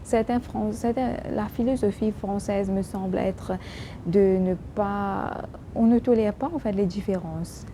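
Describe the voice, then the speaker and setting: A woman's voice: mid-range voice, steady tone, warm tone, a little hiss or hum. Woman with a strong foreign accent in French. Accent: non-native French, strong. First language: English. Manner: halting, many restarts and fillers. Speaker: YouTube vlogger. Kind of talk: casual talk to camera.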